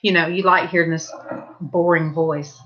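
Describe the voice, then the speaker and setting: A woman's voice: fairly low pitch, steady tone, normal speed, fairly bright tone, muffled recording. A middle-aged woman with a Southern US accent, chatting casually at home and rambling to her viewers while she paints. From Southern California. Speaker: vlogger. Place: home, indoors.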